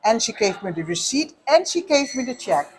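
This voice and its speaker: A woman's voice: fairly high pitch, sing-song, fairly quick, slight background noise. An older woman with a Dutch accent, co-hosting a casual chat and telling reflective stories in slightly broken English. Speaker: show co-host.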